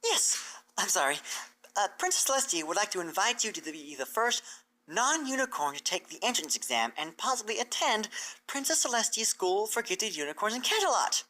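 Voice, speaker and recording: A woman voicing an eager, excitable, bookish female pony scholar in a story reading, earnest and gushing as she explains. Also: mid-range voice, fairly quick, pitched above the performer's own voice. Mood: eager, explanatory. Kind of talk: fan fiction character reading.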